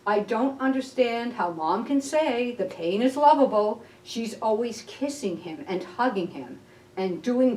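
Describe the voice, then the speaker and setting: A woman reading a children's picture book aloud as a library storyteller, with expressive, deliberate delivery. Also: medium pitch. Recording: roomy echo, distant mic.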